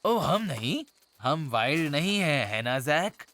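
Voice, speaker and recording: A man's voice: fairly high pitch, very animated, unhurried, fairly bright tone. A man voicing a jovial, teasing old grandfather zebra in a children's cartoon, with theatrical storytelling. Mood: kindly, chatty. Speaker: voice actor. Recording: music behind the dialogue. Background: music.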